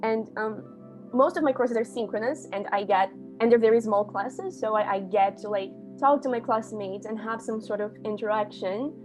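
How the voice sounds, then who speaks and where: Chatty young woman, higher voice. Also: moderate pace, faint music behind. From Brazil. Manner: chatty, lots of "like", animated. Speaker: international student. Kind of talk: casual interview talk. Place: her home, in her bedroom.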